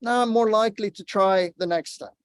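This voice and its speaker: Guy from lebanon running an online workshop, kinda high voice, dry sound, earnest and encouraging. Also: fairly quick, no room sound. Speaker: workshop presenter. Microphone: video call.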